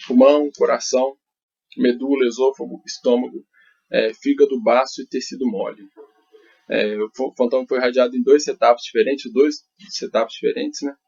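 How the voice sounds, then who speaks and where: Gravelly Brazilian man presenting research. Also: mid-range voice, a little mellow. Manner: halting, frequent tag questions. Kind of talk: academic conference presentation. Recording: dull, lo-fi audio.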